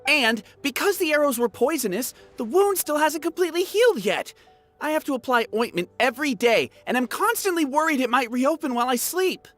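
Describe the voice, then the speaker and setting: An actor voicing an earnest, excitable young war veteran in a video game. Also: male voice, very high-pitched, a little fast, faint music behind. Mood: indignant.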